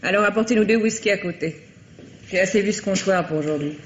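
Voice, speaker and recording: A woman's voice: mid-range voice, quick pace, natural voice. An actress playing a frank, world-weary woman in her forties who runs a café, in an old French radio drama. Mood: brisk, tired. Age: 40s. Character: world-weary forty-year-old café owner, former gangster's companion. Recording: muffled old radio recording, background hiss.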